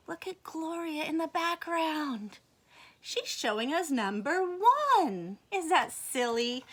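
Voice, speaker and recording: High, bright, animated woman storyteller. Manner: expressive, exaggerated gasps and questions to young listeners. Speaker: storyteller reading a picture book to children. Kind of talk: animated children's read-aloud with asides and questions.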